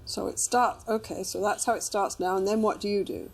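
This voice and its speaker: A woman's voice: mid-range voice, fairly even intonation, fairly quick, creaky. A woman working as a couples therapist, talking through a problem with clients, rephrasing and checking with questions. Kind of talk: probing therapy conversation.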